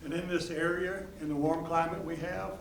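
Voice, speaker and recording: A man's voice: on the high side, steady tone, moderate pace, vocal fry. A man adding matter-of-fact remarks during a class. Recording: distant mic in echoey room with noise.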